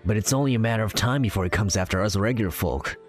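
A man's voice: fairly low pitch, fast talker, faint music behind, lightly acted. A man voicing a worried male villager who explains anxiously.

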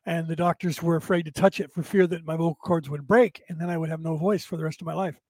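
A man hosting a long spiritual talk show, his voice hoarse and raspy, rambling and emphatic as he talks straight to his listeners. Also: sits high, no room sound. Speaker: show host. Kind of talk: late-night spiritual talk show monologue.

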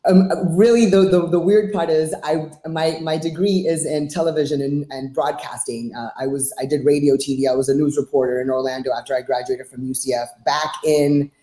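A woman's voice: low-pitched, fairly even intonation, brisk, hardly any pauses, warm tone. A woman in her forties from Miami, speaking bluntly and emphatically as a fitness coach answering interview questions. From Miami, United States. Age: 40s. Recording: roomy, echoing sound.

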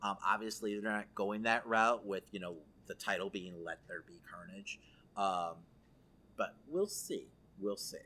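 A man's voice: lowish voice, a little slow, bright, forceful tone. A man co-hosting a podcast, chatting casually through entertainment news. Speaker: podcast co-host.

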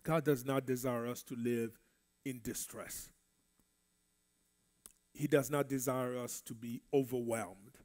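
A man's voice: mid-range voice, fairly even intonation, slow pace, long pauses, darkish tone. A man from the Congo whose first language is French, teaching a Bible study in a church in a conversational preaching style. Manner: conversational preaching, repeats words. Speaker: minister.

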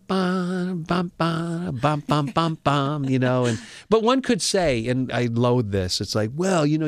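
Man, podcast host, casual chat, rambling, musing, mid-pitched, dry close sound. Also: no room sound. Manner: rambling, musing, animated asides.

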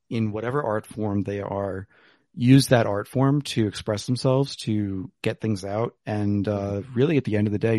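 A man's voice: fairly low pitch, a little mellow, no room sound, studio quality. A man hosting a podcast, chatting casually over whiskey in a rambling way with plenty of fillers. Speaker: podcast host. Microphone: remote call.

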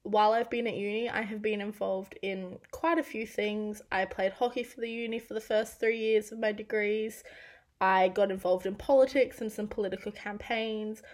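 A woman in her twenties with an Australian accent, hosting a casual solo podcast with a croaky, raspy voice from losing it this week, chatty and self-deprecating. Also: medium pitch, fairly even intonation, moderate pace. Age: 20s. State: croaky, half-lost voice. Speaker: podcast host.

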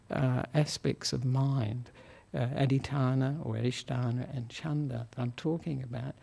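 A soft-spoken Australian man giving a gentle Dhamma talk as a Buddhist monk, chuckling often and pausing on fillers. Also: mid-range voice, creaky, slightly rough. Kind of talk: relaxed Dhamma talk to a lay audience. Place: meditation hall of a Buddhist society.

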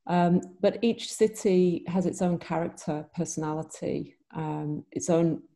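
A woman from Yorkshire with a northern accent softened by London, speaking as an interview guest in a relaxed, thoughtful way. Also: lowish voice, a little slow, creaky. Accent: Yorkshire with some London. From Yorkshire, England. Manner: relaxed, thoughtful, many ums. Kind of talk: casual interview chat.

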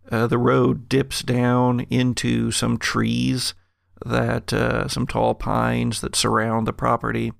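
A man narrates a tabletop role-playing game as its game master. His voice is heavily creaky and he takes his time, improvising scene descriptions in halting, deliberate phrases. The sound is close and dry. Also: mid-range voice, no room sound.

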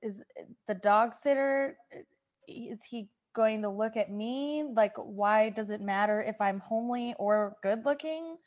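A woman's voice: on the high side, measured pace, a little mellow, lightly acted. A woman playing a flustered, suspicious customer in an improvised comedy sketch, hesitant and then indignant. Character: flustered, suspicious female dog-sitting customer phoning in.

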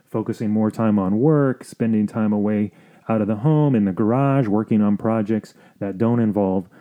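A man hosting an advice podcast, explaining things in a measured, sometimes halting way. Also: fairly low pitch, phone-quality sound. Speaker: podcast host.

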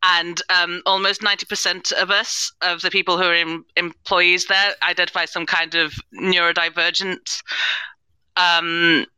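Female panelist, very bright crisp tone, thoughtful and earnest, close dry sound. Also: lowish voice, flat delivery, normal speed, no room sound, poor audio. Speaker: panelist, head of inclusion at an audio drama company. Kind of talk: live panel discussion.

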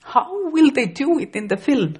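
A woman's voice: sits high, sing-song, normal speed, darkish tone. A middle-aged woman from Estonia, a native Estonian speaker with an Estonian accent, preaching a sermon carefully and with occasional restarts.

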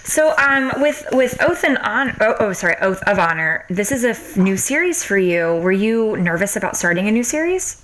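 A woman's voice: medium pitch, sing-song, a bit bright, low-quality recording. A woman hosting a podcast, interviewing an author with friendly, encouraging remarks. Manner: friendly, quick encouraging reactions. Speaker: podcast host.

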